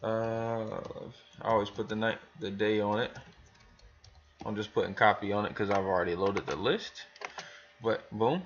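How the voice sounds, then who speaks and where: A man giving a casual, rambling software tutorial for new hires, thinking aloud as he talks through each step. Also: on the low side, fairly even intonation, normal speed, long pauses, lo-fi sound, a little hiss or hum. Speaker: business trainer demonstrating software to new hires. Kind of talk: casual screen tutorial, talking through steps.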